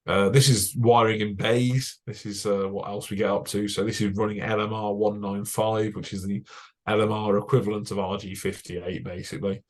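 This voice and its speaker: A man's voice: on the low side, level tone, normal speed. A young British man, a broadcast engineer, giving a chatty technical talk over slides, with many fillers and dry asides. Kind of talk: casual presentation over slides.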